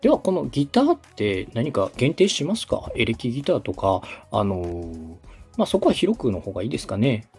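A man's voice: medium pitch, wildly swooping pitch, a little fast, darkish tone, slightly rough, faint music behind. A man from Osaka hosting a podcast, coaching in a polite, methodical way as he explains things. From Osaka, Japan. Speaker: podcast host and radio director. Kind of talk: structured coaching conversation.

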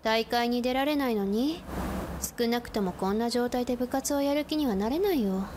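An actress playing a stern, tomboyish high-school girl in a radio drama, rough-spoken and direct. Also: normal speed, background noise, natural voice. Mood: fed up. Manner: rough, masculine phrasing, direct. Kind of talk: Japanese anime-style radio drama acting.

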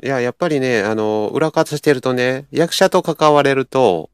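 A man's voice: mid-range voice, moderate pace, smooth, dry recording. Kansai man chatting, dry close sound. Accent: Kansai Japanese. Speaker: behind-the-scenes creative staff, co-speaker. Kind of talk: casual chat on an audio livestream.

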